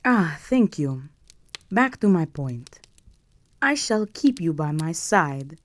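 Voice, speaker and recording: A woman's voice: low-pitched, big pitch swings, takes it slowly, exaggerated voice. A woman playing a regal, possessive vampire countess in an intimate audio roleplay, speaking to the listener in a formal, imperious and seductive manner. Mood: commanding, persuasive.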